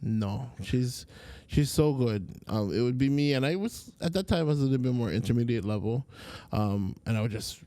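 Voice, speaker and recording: Mellow-voiced Hawaiian man, relaxed storyteller. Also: mid-range voice, normal speed, hardly any pauses, dry recording. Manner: relaxed, rambling storytelling with many fillers. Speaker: podcast guest, Hawaiian language teacher. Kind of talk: casual interview chat.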